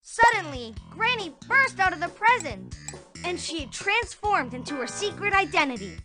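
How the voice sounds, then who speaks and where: Female narrator, children's story, dramatic, lively intonation, light music behind. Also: extremely high pitch, normal speed.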